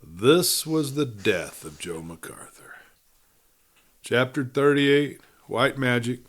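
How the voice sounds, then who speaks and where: Measured male western audiobook narrator. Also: medium pitch, a bit bright. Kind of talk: scripted western story narration.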